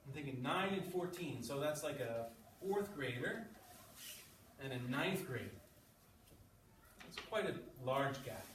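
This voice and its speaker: A man's voice: medium pitch, animated, a little slow, pauses often, fairly bright tone. Male teacher in an echoey room, distant mic. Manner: explanatory, often checks in with short questions. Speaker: grade six English teacher. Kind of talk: classroom read-aloud with running commentary and questions to pupils. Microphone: at some distance from the speaker. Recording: roomy, distant mic with background noise.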